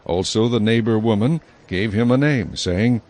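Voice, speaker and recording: A man narrating scripture from a script in a measured, solemn manner. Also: on the low side, darkish tone.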